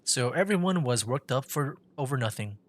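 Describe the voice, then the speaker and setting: A woman's voice: a bass voice, animated, moderate pace. A woman streamer giving casual gameplay commentary and reading dialogue aloud. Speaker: streamer playing a game.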